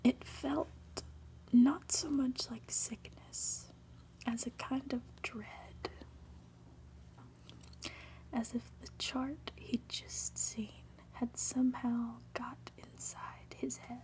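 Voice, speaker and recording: Whispering woman reading a story, slow and hushed. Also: on the high side, fairly even intonation, poor audio. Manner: hushed, deliberate, breathy whispering. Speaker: ASMR reader.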